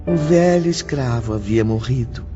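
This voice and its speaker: Guy narrating a dramatic audiobook, medium-low voice, really loud music under it. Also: lively intonation, moderate pace, dull audio.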